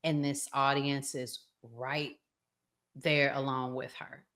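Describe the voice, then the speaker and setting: A woman hosting a casual talk show, deliberately leading the discussion with questions. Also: rumbling low, little pitch movement, fairly bright tone.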